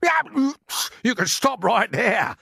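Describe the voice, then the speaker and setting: A man's voice: very high-pitched, big pitch swings, slow, gravelly, bright, forceful tone, slightly rough, no echo, clean studio sound, voice pushed up, exaggerated voice. A man voicing a panicky, stubborn, indignant earthworm in a children's story, exclaiming in protest.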